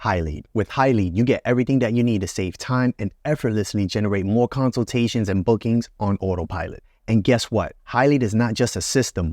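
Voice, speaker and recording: Man, ad read, salesy, polished, lowish voice, dry studio sound. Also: a little mellow, no room sound. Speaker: ad announcer. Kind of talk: scripted radio-style ad read.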